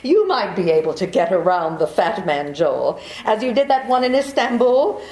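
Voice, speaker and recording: A woman's voice: mid-range voice, sing-song, normal speed, a little mellow, slight background noise. A woman playing a beguiling, deceitful young woman in trouble in a 1940s-style radio play, coy and pleading. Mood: sly. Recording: echoey room.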